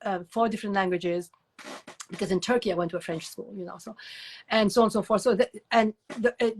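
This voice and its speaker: Chatty older woman, an animated storyteller. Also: medium pitch, fast, a little hiss or hum. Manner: animated storyteller, digressive, emphatic repetition. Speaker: guest author and educator. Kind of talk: conversational interview answers.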